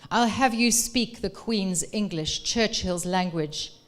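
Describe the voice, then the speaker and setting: A woman's voice: medium pitch, takes it slowly, natural voice. A woman reading aloud as a stern, domineering older Dutch father with a growly Dutch accent. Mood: imperious.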